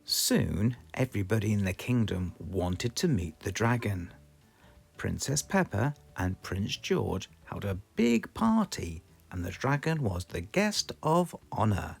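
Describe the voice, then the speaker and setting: A man with a British accent reading a children's storybook aloud in a slow, expressive, sing-song way. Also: fairly low pitch, creaky, slightly rough, soft background music. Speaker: storybook reader.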